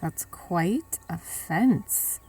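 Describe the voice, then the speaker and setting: Bold female bank robber, audio drama, lowish voice, terse, streetwise, slightly distant. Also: expressive, extremely slow, darkish tone, slightly rough, heavily acted. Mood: curious, scheming.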